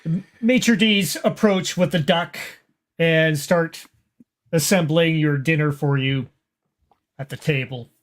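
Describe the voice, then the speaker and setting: A game master narrating a tabletop roleplaying game in a halting, descriptive way. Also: mid-range voice.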